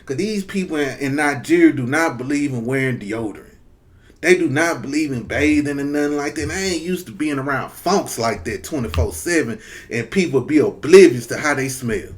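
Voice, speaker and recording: A man, giving blunt, mocking reaction commentary with animated storytelling. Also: medium pitch, a little fast. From Mississippi, United States. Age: 40s. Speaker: commentary host.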